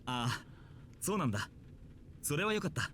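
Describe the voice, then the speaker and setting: A man's voice: a little high, very animated, fast talker, fairly bright tone, a little hiss or hum. An actor playing a mild, jokey, nervous male schoolteacher in a Japanese visual novel. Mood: relieved.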